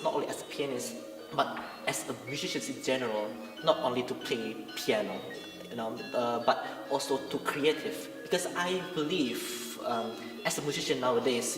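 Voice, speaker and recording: A young Indonesian man, a pianist and composer, speaking earnestly and hesitantly in a pre-concert interview, often searching for words. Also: medium pitch. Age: young adult. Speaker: pianist and composer, masters student. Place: large recital room. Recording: echoey room, distant mic, music behind.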